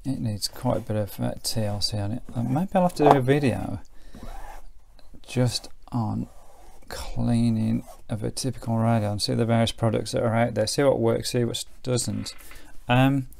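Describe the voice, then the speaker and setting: A middle-aged British man giving a casual, unhurried hands-on commentary as he demonstrates something, thinking aloud as he goes. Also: mid-range voice, fairly even intonation, vocal fry, darkish tone. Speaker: presenter demonstrating a vintage radio. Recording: faint background noise, clicks of handling.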